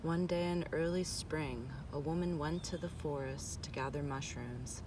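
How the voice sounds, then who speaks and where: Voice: mid-range voice, measured pace. A reader telling a folktale aloud in storybook narration. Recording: noisy, distant microphone.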